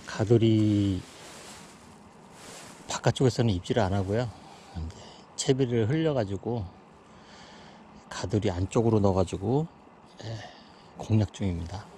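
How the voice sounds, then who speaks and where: A man's voice: on the low side, unhurried, pauses often, mellow, a little hiss or hum. A middle-aged man narrating his own fishing trip outdoors in a casual, chatty way, often dropping in "yes" as filler. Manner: chatty, filler-heavy, frequent "예". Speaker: fishing vlogger. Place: outdoors at a seaside fishing pond.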